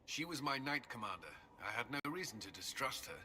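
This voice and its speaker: A man's voice: mid-range voice, very animated, fairly quick, sharp tone. An actor playing a guilt-ridden male templar commander in a video game, speaking solemnly and earnestly. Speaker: game character.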